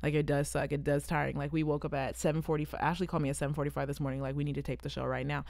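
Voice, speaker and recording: A woman in her twenties with a Southern California accent tinged with British English, co-hosting a podcast. She rambles through animated stories on a close mic. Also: low voice, racing, gravelly, dry recording. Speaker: podcast co-host. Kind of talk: casual chat.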